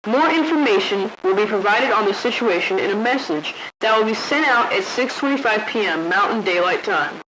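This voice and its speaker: A woman's voice: mid-range voice, animated, normal speed, hardly any pauses, fairly bright tone, slight background noise, rough recording. A woman announcer reading a scripted emergency warning.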